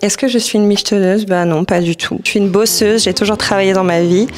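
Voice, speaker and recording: A woman's voice: medium pitch, steady tone, fast. A woman on a dating show, confident and self-assured. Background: music.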